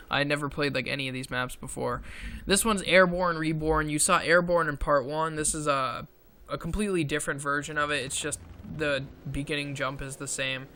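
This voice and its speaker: A man giving casual commentary over gameplay footage, halting with many fillers, clearing his throat often, his voice strained from talking so long. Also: a little high, pushed and bright, slight background noise. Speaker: gaming video creator.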